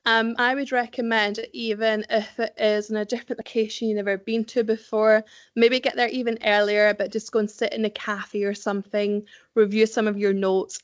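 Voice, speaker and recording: A woman's voice: on the high side, no echo. Scottish woman giving career advice, dry sound. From Scotland. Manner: practical, conversational, frequent ums, occasional laughs. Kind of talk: presentation to young professionals.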